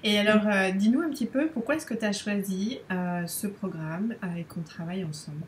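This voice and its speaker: A woman's voice: medium pitch, a little fast, slight background noise. A woman business coach interviewing a client in an informal, friendly tone with prompting questions.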